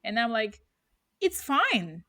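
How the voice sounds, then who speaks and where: A woman's voice: fairly high pitch, big pitch swings, slow pace, pushed and bright, no room sound, studio quality. A woman in her thirties, a native Russian speaker, musing casually as a podcast co-host, with many fillers and restarts. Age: 30s. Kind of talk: casual chat.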